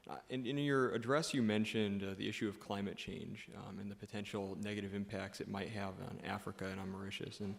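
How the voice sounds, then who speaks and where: Young man with a gravelly, creaky voice. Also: lowish voice, steady tone, fairly quick, few pauses. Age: young adult. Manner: polite, formal. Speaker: student audience member asking a question. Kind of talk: question at a public event.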